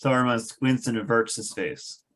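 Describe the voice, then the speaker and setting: A man's voice: medium pitch, fairly even intonation, normal speed, fairly bright tone. A man reading stage directions aloud as narrator of a scripted table read.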